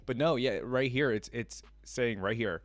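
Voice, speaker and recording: A man's voice: medium pitch, moderate pace, dry recording. A man hosting a reaction video, reading lore aloud and adding informal, casual fan commentary.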